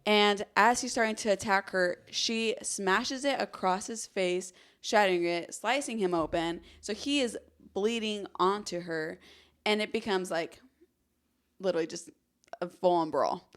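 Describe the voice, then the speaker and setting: A woman's voice: medium pitch, measured pace, fairly bright tone. A woman hosting a true crime podcast, telling the story dramatically with casual conversational asides.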